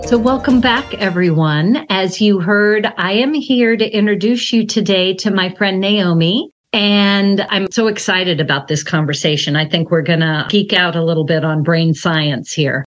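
A woman's voice: mid-range voice, moderate pace, few pauses, highs cut off, faint music behind. A middle-aged woman hosting a podcast, moving from a scripted intro into an enthusiastic, affirming interview chat. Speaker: podcast host.

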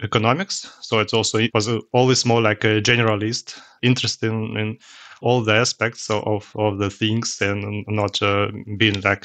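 Bright, low-pitched Russian-accented man, halting. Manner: halting, hesitant phrasing in non-native English. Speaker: podcast guest, tech executive. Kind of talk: conversational interview answers.

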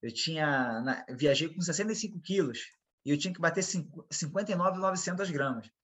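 Brazilian man, MMA fighter guest, husky, a bit high, rambling interview storyteller. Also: fairly quick, fairly bright tone. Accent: Brazilian Portuguese. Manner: rambling, repeats himself, quotes others in dialogue.

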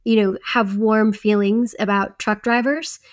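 Woman in relaxed podcast conversation. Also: mid-range voice, measured pace. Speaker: podcast co-host. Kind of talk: casual reflective conversation.

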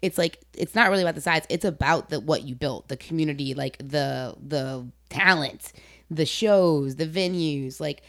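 Woman, low voice, casual interview host, informal, opinionated, emphatic phrasing. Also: moderate pace, a bit bright.

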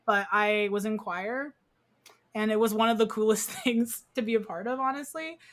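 A young woman with a high voice, chatting casually and expressively as a podcast guest, prone to giggling. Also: moderate pace, a bit bright. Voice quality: high-pitched voice. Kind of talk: casual chat.

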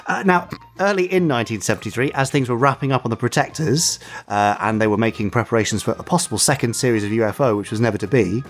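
A man's voice: mid-range voice, fast, hardly any pauses, faint music behind. A man hosting a podcast, telling trivia in a chatty, playful, bantering way. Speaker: podcast host. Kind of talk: chatty trivia segment, semi-scripted storytelling.

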